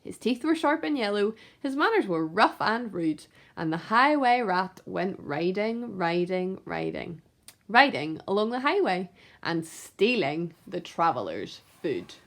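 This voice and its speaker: A woman tells a children's story in an expressive read-aloud style. Her voice is of average pitch for a woman. The melody is lively, moving widely up and down. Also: unhurried.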